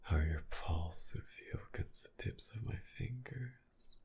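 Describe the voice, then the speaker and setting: A man playing a sadistic, possessive, flirtatious villain in an intimate ASMR roleplay, taunting in a breathy, teasing drawl. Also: lively intonation, slow, a little mellow, exaggerated voice. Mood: hungry, menacing. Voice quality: low, gravelly, breathy.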